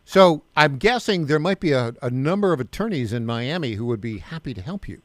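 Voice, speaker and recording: A man's voice: medium pitch, lively intonation, a little fast, fairly bright tone, no echo. A man hosting a talk radio show, interviewing a guest with direct questions and frequent stumbling restarts.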